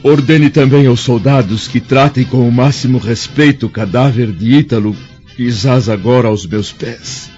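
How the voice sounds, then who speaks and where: A man's voice: medium pitch, moderate pace, a little mellow, close to an everyday voice. A Brazilian man acting a blind, wounded, dignified older Roman senator in a radio drama, with formal, solemn diction. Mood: grave, commanding. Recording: dull lo-fi audio, music underneath.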